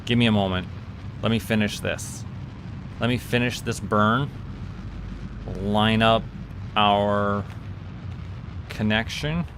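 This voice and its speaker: A man with a Canadian accent and a low voice full of vocal fry talks through a game in a casual way. His delivery is unhurried and clearly voiced, as he thinks out loud step by step. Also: background noise. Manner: thinking aloud, talking himself through steps. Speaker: streamer. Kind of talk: casual gameplay commentary.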